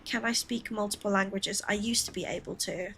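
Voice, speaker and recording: A woman's voice: medium pitch, a little fast, fairly bright tone, slight background noise. Chatty streamer woman from the Philippines. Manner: rambling, chatty, laughing asides. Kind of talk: casual gameplay chat with viewers.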